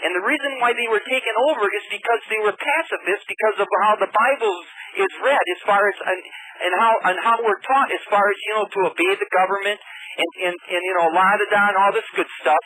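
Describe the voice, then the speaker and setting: An American man calling a radio show, arguing politics earnestly in a halting, repetitive way. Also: high voice, bright, forceful tone. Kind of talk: earnest political argument on open phones. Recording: noisy telephone line into radio broadcast.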